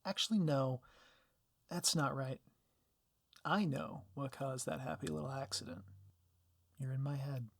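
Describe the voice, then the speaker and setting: A man playing a reformed villain turned sappy, dorky sweetheart in an ASMR roleplay, speaking softly and intimately with gentle teasing. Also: medium pitch, steady tone, unhurried, gravelly, long pauses, dry recording. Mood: teasing, tender.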